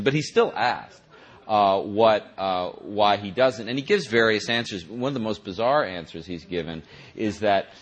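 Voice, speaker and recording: Halting low-voiced man, dry and wry. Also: fairly bright tone. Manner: halting, many restarts and repeated words. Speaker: journalist and author. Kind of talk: radio interview answers.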